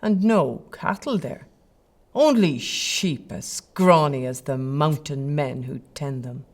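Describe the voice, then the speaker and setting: A woman's voice: low voice, slow pace, exaggerated voice. An actress playing a chieftain's lady in an audio play, arch, playful and haughty. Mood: teasing, scornful. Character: fine Irish chieftain's lady, teasing, imperious, flirtatious.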